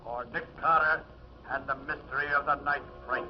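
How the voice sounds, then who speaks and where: A dramatic male announcer from an old radio mystery, played by an actor, calls out in a slightly high voice. Loud music plays under a muffled vintage recording. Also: fairly even intonation, normal speed, close to an everyday voice.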